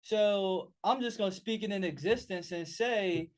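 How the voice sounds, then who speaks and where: A man with a notably high voice hosts a sports talk radio show. He questions his guest in an upbeat tone and keeps praising him. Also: a little slow. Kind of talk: radio interview hosting.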